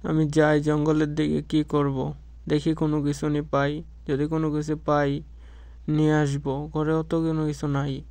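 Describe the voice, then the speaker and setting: Man voicing gentle worried village husband, cartoon, mellow gravelly voice, plaintive, dry close sound. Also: on the high side, completely flat delivery, normal speed, no echo, natural voice. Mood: resigned, worried. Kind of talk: children's cartoon dialogue.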